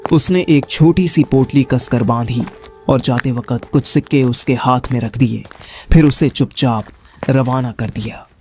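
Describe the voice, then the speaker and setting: Casting: male horror storyteller, mid-pitched, dramatic and deliberate, light music bed. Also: darkish tone. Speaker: narrator. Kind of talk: scripted horror story narration.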